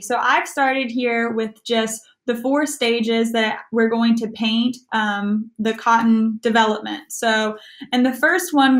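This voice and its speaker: A young woman with an American accent, casually presenting a hands-on craft activity. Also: fairly high pitch. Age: young adult. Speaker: youth extension agent, activity presenter. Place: small room at home, indoors.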